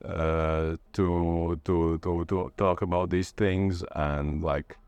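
A man's voice: low voice, flat delivery, takes it slowly, a little mellow, clear, resonant tone, dry recording, muffled recording. A man from Latvia with a Latvian accent, speaking conversationally as an interviewee and often searching for his words. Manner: hesitant, searching for words with repeated starts. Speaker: interviewee, literature lecturer.

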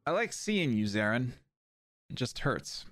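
A man's voice: mid-range voice, big pitch swings, unhurried, a bit bright, no room sound, clean studio sound. A man playing a lovelorn, supportive doctor in an audio drama, speaking casually and frankly.

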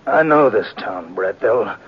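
Gravelly, wounded old western sheriff. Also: male voice, fairly low pitch, animated, heavily acted. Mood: grim, urgent. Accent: American. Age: older. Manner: weak, halting, folksy speech with trailing repeats. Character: wounded, aging western sheriff, anxious and guilt-ridden. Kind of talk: 1950s radio western acting. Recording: muffled, noisy 1950s radio recording.

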